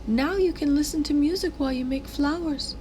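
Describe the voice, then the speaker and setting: A woman voicing an earnest, expressive young girl in a children's storybook. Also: sits high, brisk, slight background noise, voice pushed up, lightly acted. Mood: eager. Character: excitable young girl selling her music box.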